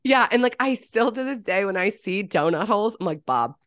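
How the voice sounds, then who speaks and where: A woman's voice: medium pitch, lively intonation, fairly quick, fairly bright tone, dry recording. Stuffy-nosed young woman, chatty. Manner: chatty, giggly, lots of "like". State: congested, stuffy from a cold. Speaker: podcast co-host. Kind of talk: casual chat.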